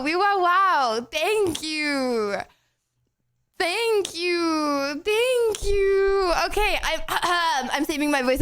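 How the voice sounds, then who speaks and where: High pitched girl streaming at her desk, excitable, says bro a lot. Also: a little hiss or hum. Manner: excitable, laughy, slangy with lots of "bro" and "okay". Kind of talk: live stream chat, thanking viewers.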